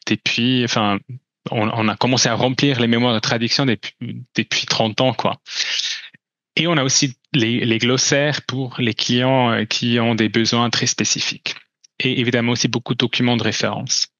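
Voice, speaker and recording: A man's voice: medium pitch, fairly even intonation, normal speed, fairly bright tone, no room sound, lo-fi sound. Man presenting hesitantly. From Switzerland. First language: German. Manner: hesitant, many restarts and fillers. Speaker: company presenter. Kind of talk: conference talk with slides.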